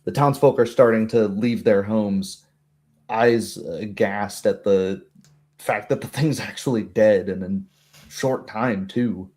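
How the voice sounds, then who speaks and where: A man narrating as game master, improvising descriptions of a tabletop scene in a halting, descriptive way. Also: on the low side, darkish tone.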